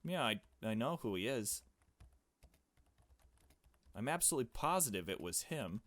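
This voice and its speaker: A man's voice: mid-range voice, sing-song, moderate pace, a bit bright, no room sound. Man reading as a curt, secretive detective girl. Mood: stubbornly certain. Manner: curt, dismissive. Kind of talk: visual novel dialogue read aloud.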